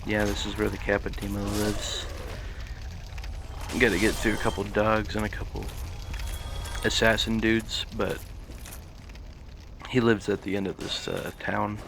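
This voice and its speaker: A man hosting a casual let's play, talking in a monotone and rambling with many fillers. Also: on the low side, a little slow, gravelly, pauses often, background noise. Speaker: gaming channel host.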